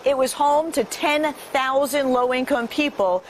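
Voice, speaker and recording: A woman gives scripted news narration in a fairly high voice. Faint vehicle noise sits behind her. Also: unhurried.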